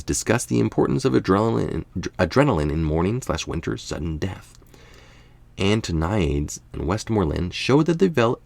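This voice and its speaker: A man's voice: low-pitched, vocal fry, darkish tone, no room sound. A man reading a science article aloud, informally and musingly, with casual asides and the odd stumble over technical words. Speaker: article reader and commentator.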